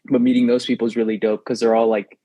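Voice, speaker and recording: A man's voice: medium pitch, little pitch movement, quick pace, warm tone, dry recording. A young adult man whose first language is Spanish, telling rambling stories with lots of "like" fillers as a podcast co-host. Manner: rambling storytelling with many fillers. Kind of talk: casual chat.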